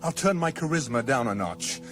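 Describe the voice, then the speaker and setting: An actor playing a vain, flippant, slangy alien spaceship captain in a radio comedy. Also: male voice, mid-range voice, animated, moderate pace, music in the background, lightly acted. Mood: smug, amused. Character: vain, laid-back hipster male alien spaceship captain.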